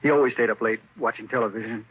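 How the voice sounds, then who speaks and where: A man's voice: mid-range voice, steady tone, racing, lightly acted. A man playing a polite young caretaker. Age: young adult. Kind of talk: 1950s radio drama acting. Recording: dull old radio broadcast with faint hiss.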